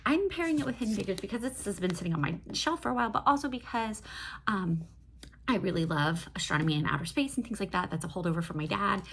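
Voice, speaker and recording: A woman's voice: medium pitch, lively intonation, fast talker, fairly bright tone, a little hiss or hum. A woman chatting casually about books in an enthusiastic, digressive way, like a host reviewing her reading. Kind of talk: casual book review chat.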